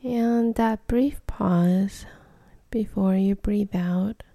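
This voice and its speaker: A woman guides a meditation very slowly, in soft, soothing phrases. Her voice is deeply mellow and breathy, nearly whispered at times, with a creaky fry beneath it. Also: fairly even intonation, no room sound. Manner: soft, soothing, unhurried delivery with short phrases.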